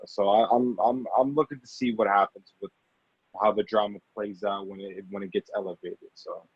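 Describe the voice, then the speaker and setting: A man being interviewed as an actor, chatting casually and haltingly, often repeating phrases for emphasis. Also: lowish voice, fairly even intonation, breathy edge, dry recording. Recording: dull, muffled lo-fi audio.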